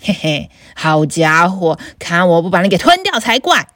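Woman putting on a low growling troll. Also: big pitch swings, normal speed, fairly bright tone, no room sound, clean studio sound. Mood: menacing. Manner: growling, threatening. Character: gruff greedy male troll under a bridge.

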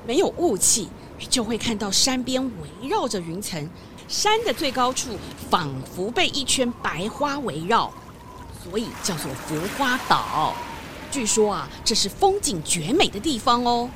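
A woman narrating a children's audio story, expressive, drawing out particles at phrase ends. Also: a little high, background noise. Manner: expressive, with drawn-out sentence particles. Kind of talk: animated storytelling for young children.

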